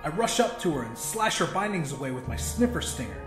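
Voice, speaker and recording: High-voiced man narrating horror, music behind. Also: sing-song, a little fast. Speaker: audiobook narrator. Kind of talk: dramatic first-person horror story narration. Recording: roomy echo with music behind.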